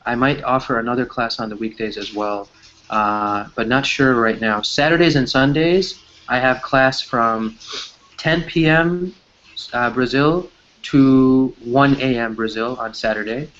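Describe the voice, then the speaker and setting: A man teaching English on a video call, chatting casually with his students and explaining words in a relaxed, encouraging way. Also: mid-range voice, unhurried, a little hiss or hum. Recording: dull lo-fi call audio.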